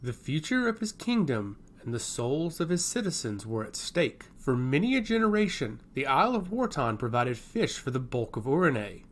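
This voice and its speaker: A man narrating a scripted fantasy story in a measured, dramatic storytelling manner. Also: medium pitch, big pitch swings, a little hiss or hum.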